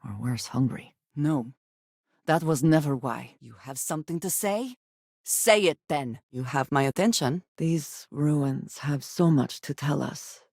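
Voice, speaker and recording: An actress voicing a guarded, earnest young woman space explorer in a video game, speaking in formal, measured phrases with few contractions. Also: deep voice, expressive, a little mellow, dry recording, studio quality, exaggerated voice. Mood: stern, guarded.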